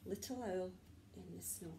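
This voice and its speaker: A woman reading a story to young children like a nursery teacher, with dramatic pauses and repeated words for suspense. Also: fairly low pitch, vocal fry, warm tone, slight background noise. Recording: echoey room.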